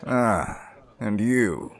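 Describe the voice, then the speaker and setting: A man playing a cold, disapproving middle-aged father who speaks formally and deliberately in an audio drama. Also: lowish voice, expressive, full character voice. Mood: cold, disdainful.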